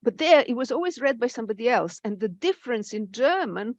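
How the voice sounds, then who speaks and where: A middle-aged woman, a native Serbian speaker, giving a measured, reflective talk on a literary panel. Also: on the high side, sing-song, dry recording, lo-fi sound. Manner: measured, reflective, with occasional hesitations.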